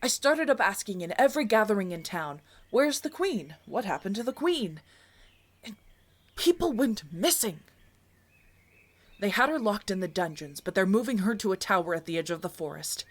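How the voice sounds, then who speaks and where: A woman's voice: mid-range voice, expressive, moderate pace, natural voice. A woman playing a loyal, urgent palace informant in a fantasy audio drama, speaking in an earnest, conspiratorial way. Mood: uneasy, grave.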